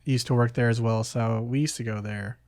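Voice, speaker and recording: A man's voice: mid-range voice, steady tone, fairly quick, darkish tone, dry recording. Man chatting casually, close and dry. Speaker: podcast host. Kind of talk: casual podcast chat about games.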